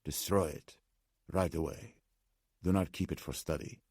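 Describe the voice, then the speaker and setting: A man voicing an anxious, formal old gentleman with a Slavic accent, speaking deliberately as an audiobook character. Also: deep voice, breathy edge, dry recording, clean studio sound. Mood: grave, insistent. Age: older. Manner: formal, deliberate, agitated but striving to speak calmly.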